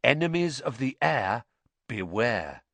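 A man's voice: mid-range voice, sing-song, slow pace, vocal fry, pushed and bright, no room sound, pitched above the performer's own voice, full character voice. A man voicing a self-important, stubborn schoolboy who gossips and quavers, as an audiobook character. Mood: accusing. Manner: mysterious, emphatic, insistent.

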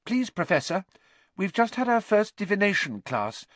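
Man as schoolgirl, high, earnest, polite, eager, lively intonation, storybook. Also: moderate pace, no room sound.

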